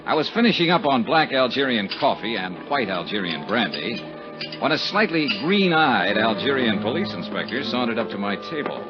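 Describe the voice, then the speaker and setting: An actor playing a sardonic, flirtatious American investigator with clipped, wry speech in 1950s radio detective style. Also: male voice, mid-range voice, very animated, moderate pace, lightly acted. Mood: brooding narration. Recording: dull old radio recording with music.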